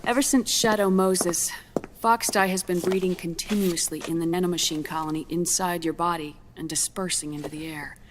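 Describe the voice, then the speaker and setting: A woman playing a grave scientist in a video game, explaining a dire diagnosis in a measured, solemn, clinical manner. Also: on the low side, fairly even intonation, background noise, lightly acted. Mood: explanatory, urgent. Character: grave female scientist gently delivering a dire prognosis. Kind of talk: dramatic video game cutscene acting.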